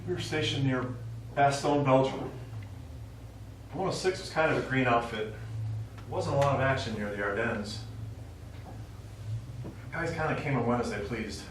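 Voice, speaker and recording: An actor playing an elderly, stubborn widower and war veteran, reminiscing in a wry, rambling way in a stage play. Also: male voice, medium pitch, normal speed, pauses often, natural voice. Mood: nostalgic. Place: small theatre stage, recorded from the audience. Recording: echoey small theatre, distant mic, faint noise.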